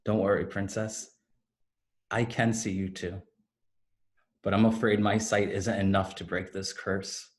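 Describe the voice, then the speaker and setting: Man playing a slow, wistful fallen fairy. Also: fairly low pitch, flat delivery, lightly acted. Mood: gentle, calm. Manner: slow, lyrical, deliberate delivery. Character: fallen winged healing fairy, tender, wistful, insistent. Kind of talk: staged play reading, dramatic monologue. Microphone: video call. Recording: remote video-call recording.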